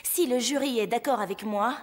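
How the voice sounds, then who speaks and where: An actress playing a kindly, admiring ballet juror in a French animated series, speaking gently and formally. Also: female voice, a little high, moderate pace, lightly acted. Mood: sympathetic.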